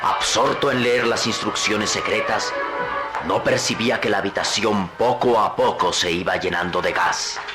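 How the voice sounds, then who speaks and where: A man's voice: fairly low pitch, moderate pace, music in the background. A man narrating an old radio drama in a grave, suspenseful tone.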